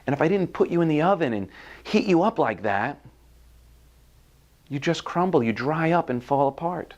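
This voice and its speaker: A man playing a calm, firm master potter in a parable, speaking patiently. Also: fairly high pitch. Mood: earnest, reassuring. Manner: patient, measured, explanatory. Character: calm, stern but loving master potter.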